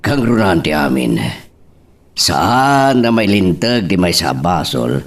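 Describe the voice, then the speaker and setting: A man's voice: sits low, big pitch swings, slow, exaggerated voice. A man playing a grave, emotional older father giving counsel in an audio love drama, with emphatic, dramatic delivery. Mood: earnest, emotional. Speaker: voice actor in a drama.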